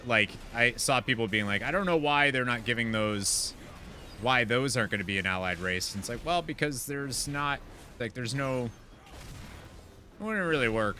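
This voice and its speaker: A man's voice: mid-range voice, lively intonation, moderate pace, pauses often, sharp tone, slight background noise. A man giving casual, rambling and enthusiastic gameplay commentary as he chats with his viewers. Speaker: video game streamer.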